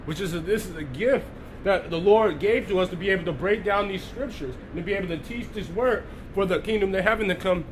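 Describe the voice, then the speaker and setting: A high-pitched man preaching live to an online audience, his voice hoarse as he recovers from being sick. He keeps clearing his throat and breaks off to greet viewers by name. A faint background hiss sits under the stream. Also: a little fast, rough recording. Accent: Texas.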